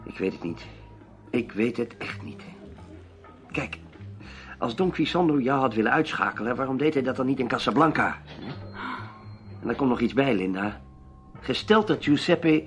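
An actor playing a brisk, impatient newspaperman who asks commanding, probing questions in a radio drama. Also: mid-range voice, expressive, fairly bright tone, soft background music, natural voice. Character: brisk, impatient male newspaperman investigating a mystery.

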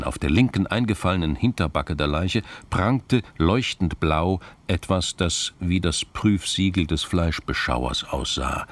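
A man narrating a scripted crime drama in a gravelly voice, measured and understated. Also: very deep voice.

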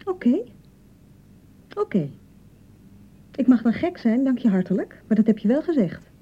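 An actress with a Dutch accent playing a young, anxious newlywed bride in a radio drama, touchy and fretful, chattering and repeating herself. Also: female voice, on the high side, very animated, moderate pace, lo-fi sound. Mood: hurt, sarcastic. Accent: Dutch (Netherlands). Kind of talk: naturalistic radio drama acting.

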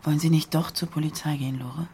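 An actress playing an inquisitive, thoughtful woman writer in a radio drama, coaxing and probing, with a creaky voice. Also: sits low, steady tone, quick pace, noisy background, close to an everyday voice. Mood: curious, coaxing. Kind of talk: naturalistic radio drama acting.

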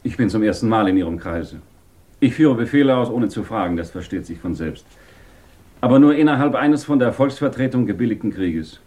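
An actor playing an upright, disciplined army pilot in a 1950s German radio drama, frank and formal. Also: male voice, fairly low pitch, animated, a little fast, darkish tone, natural voice. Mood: firm.

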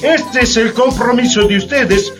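A man's voice: extremely high pitch, measured pace, distant mic, over music. An actor playing a polite but insistent foreign machinery salesman in a satirical radio drama. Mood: slick, pushy. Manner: polite, persistent sales patter.

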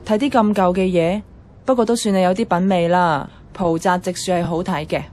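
A woman's voice: on the low side, steady tone, brisk, a little mellow, lightly acted. A woman playing a feisty, blunt young office worker who loves comics, in a Hong Kong Cantonese radio drama. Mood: keen, casual. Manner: teasing, blunt.

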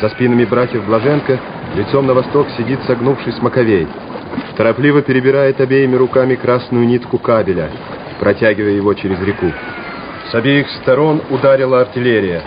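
A man's voice: mid-range voice, brisk. A man narrating a war radio play in a dramatic, scripted style. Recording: muffled, noisy old radio recording.

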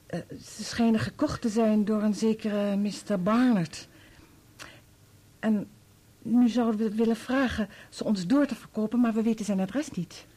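An actress playing a polite, determined wife in a Dutch radio play, husky and purposeful. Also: female voice, mid-range voice, measured pace, warm tone, lightly acted. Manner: courteous, purposeful. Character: polite, determined wife seeking help for husband.

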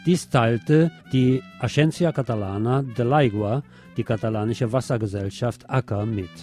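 A man's voice: medium pitch, moderate pace, over music. A man presenting a scripted radio magazine with news and commentary, phrasing things in a wry, dramatic way.

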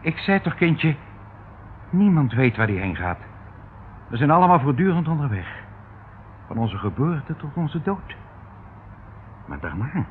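An actor in a radio play playing a pompously cultured, patronising uncle who dotes falsely and evades every question. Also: male voice, on the high side, big pitch swings, measured pace, pauses often. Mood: doting, evasive. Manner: theatrical, patronising, rambling, chuckling. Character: unctuous, evasive uncle captor, pompously cultured, falsely doting. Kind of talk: Dutch radio play acting. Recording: tinny, hissy old radio play recording.

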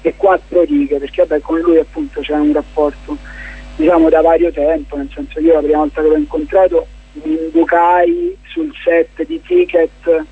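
A man with a husky voice, speaking as a guest in a casual radio interview, explaining his projects in a hesitant, rambling way. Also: a little high, moderate pace, dark tone, rough recording. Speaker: playwright and documentary filmmaker, interview guest.

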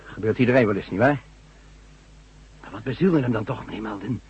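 An actor playing a shaken, defensive but deferential small-town sheriff in a Dutch radio drama. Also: male voice, on the low side, brisk, slightly rough. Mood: sheepish, puzzled. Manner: defensive, repeats himself. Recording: muffled old radio recording, faint hum.

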